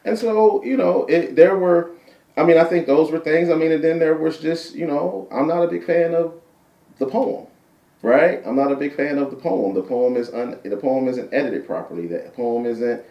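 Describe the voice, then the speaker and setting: A man with a deep voice, a guest poet chatting casually in an interview, repeating himself and tagging points with "right?". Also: moderate pace, gravelly, lo-fi sound. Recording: roomy sound from a distant mic.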